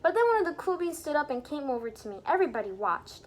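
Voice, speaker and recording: A woman reading a picture book aloud to young children in an expressive, teacherly way, pausing to explain things and put questions to the listeners. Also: high voice, a little hiss or hum. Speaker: children's storybook reader and teacher. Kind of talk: animated read-aloud for young children with asides explaining words.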